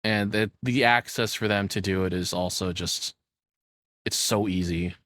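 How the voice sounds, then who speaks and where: Low-voiced man, casual livestreamer. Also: fairly even intonation, measured pace, fairly bright tone, dry recording, studio quality. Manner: informal, sweary, rambling. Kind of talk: casual reaction stream chat.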